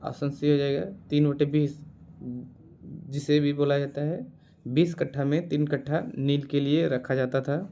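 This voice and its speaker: Higher-voiced, even-toned man quizzing. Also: slight background noise. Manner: repeats each answer deliberately. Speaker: quiz teacher. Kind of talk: reading out exam quiz questions and answers.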